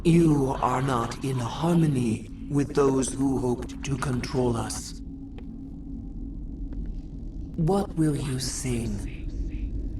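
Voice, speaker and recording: A woman voicing an ancient, mournful alien insect queen in a video game, with stately, halting, poetic phrasing and a breathy, whispery voice. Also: extremely low pitch, recorded from a distance, noisy background. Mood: pleading. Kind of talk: video game character acting.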